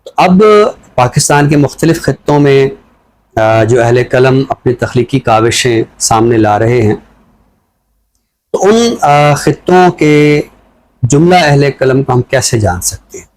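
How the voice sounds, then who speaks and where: Deliberate Pakistani man, literary speaker. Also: mid-range voice, lively intonation, darkish tone, low-quality recording. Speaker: literary show presenter reciting a poem and introducing a book. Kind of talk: poetry recital and literary talk.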